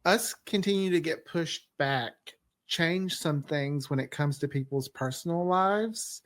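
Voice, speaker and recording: A man's voice: on the high side, fairly bright tone, no echo. Man in casual chat, close dry recording. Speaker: scriptwriter, panel guest.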